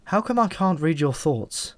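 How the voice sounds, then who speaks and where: A man's voice: a little high, very animated, measured pace, no echo, pitched above the performer's own voice. Man acting a storybook everyman, irritable, insecure, easily flustered, incredulous, dry studio sound. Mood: questioning. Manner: testy, incredulous, questioning. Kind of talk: audiobook character voice.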